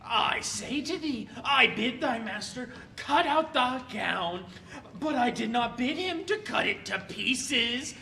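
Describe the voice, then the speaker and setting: Cheeky comic manservant, high-pitched and very piercing, blustering through a Shakespearean audio comedy. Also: male voice, lively intonation, slow pace, vocal fry, bright, forceful tone, exaggerated voice. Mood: mock-indignant defiance. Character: cheeky, quibbling male servant, mock-indignant. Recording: noticeable background noise.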